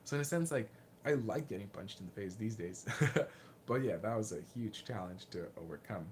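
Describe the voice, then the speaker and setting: A man in his late twenties with a Lithuanian accent, casually answering viewer questions in a candid, self-deprecating, halting way. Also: lowish voice, lively intonation, slight background noise. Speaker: martial arts vlogger and fighter.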